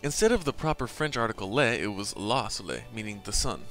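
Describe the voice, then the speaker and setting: A man's voice: lowish voice, big pitch swings, fairly quick, fairly bright tone, faint music behind. A man doing let's play commentary and reading game text aloud, rambling with asides. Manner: rambling, full of ums and asides.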